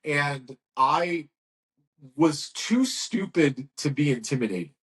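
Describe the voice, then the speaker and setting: A man in his thirties, a guest in a casual interview, talking in a frank, rambling way and telling lively stories. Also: on the high side, slow. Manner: rambling, frank, lively storytelling with acted quotes. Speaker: guest, agency owner.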